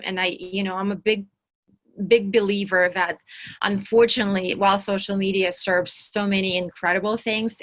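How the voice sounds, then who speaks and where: A woman from Russia with a Russian accent, giving an energetic online workshop talk and often repeating words for emphasis. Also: fairly low pitch. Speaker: workshop speaker. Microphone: video call.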